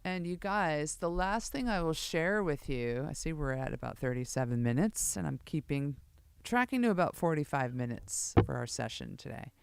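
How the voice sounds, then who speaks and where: A woman bassist with a low voice, hosting a live session and chatting casually and self-jokingly to her viewers as she rehearses. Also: lively intonation, normal speed, gravelly, clean tone, dry recording. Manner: rambling, self-joking, addresses viewers as "guys". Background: loud bass guitar and music.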